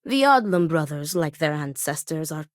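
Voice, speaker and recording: Stern, formal, dutiful game character. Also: female voice, low voice, expressive, moderate pace, no room sound, clean studio sound. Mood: grave, earnest. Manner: formal, precise, clipped phrasing without contractions. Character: stern, formal, dutiful woman overseeing a family duel. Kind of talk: scripted video game character dialogue.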